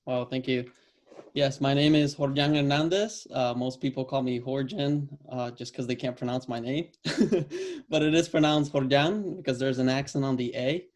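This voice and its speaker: A man from Cuba, a divinity student, introducing himself on an online panel in a relaxed way with fillers. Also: medium pitch, normal speed.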